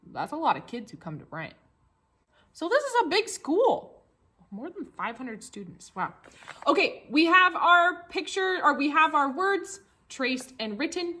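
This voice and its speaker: An American woman leads a lesson for young children in a bright, very animated voice. She takes her time, repeats key words simply and plays up questions with exaggerated wonder. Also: high-pitched. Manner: simple explanations with repetition. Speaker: elementary school teacher. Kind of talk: read-along tracing lesson for young children.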